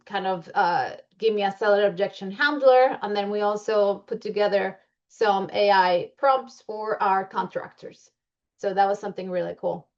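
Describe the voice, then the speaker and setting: Colombian accent lady walking thru slides, lots of ums, kinda muffled lo-fi audio. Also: mid-range voice. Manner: chatty, many fillers and restarts. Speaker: membership presenter. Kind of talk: presentation walking through slides.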